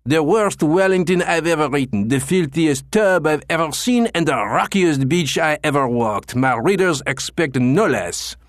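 A man's voice: on the high side, expressive, measured pace, few pauses, fairly bright tone, dry recording, clean studio sound, voice pushed up. A man reading an audiobook and voicing an irate elderly French Canadian travel critic with a thin, nasal accent. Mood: raging indignant.

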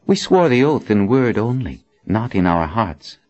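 A man's voice: fairly low pitch, sing-song, a little slow, darkish tone, muffled recording. A treacherous sorceress speaks coldly and with threat, read by a male audiobook narrator. She is a hard, unyielding captor in a fantasy tale. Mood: cold, bitter. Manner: cold, threatening. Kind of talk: audiobook character acting.

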